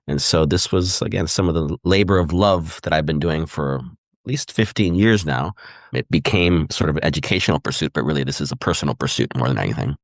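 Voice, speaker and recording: Middle-aged man from Puerto Rico, low voice, quick reflective guest answers, dry close mic. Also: dry recording. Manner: thoughtful, repeats "right?" and "again". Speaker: guest historian. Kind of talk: conversational interview answers.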